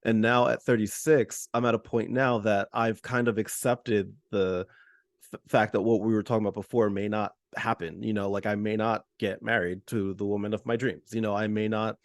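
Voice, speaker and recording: A man in his thirties hosts a podcast and talks in a playful, expressive way, often laughing. His voice is recorded dry and close, with no room sound. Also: fairly low pitch, moderate pace, dry recording. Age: 30s. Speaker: podcast host. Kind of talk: casual conversational interview.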